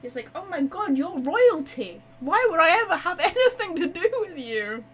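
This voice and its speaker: A woman's voice: very high-pitched, big pitch swings, moderate pace. A woman streaming a game live, reacting casually in an informal, giggly, chatty way.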